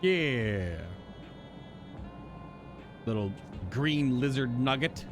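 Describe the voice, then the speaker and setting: A man's voice: medium pitch, lively intonation, drawn-out words. A man reacting live to a game as he streams, with casual, murmured asides. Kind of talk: live game reaction and read-aloud of quest text. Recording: game music behind.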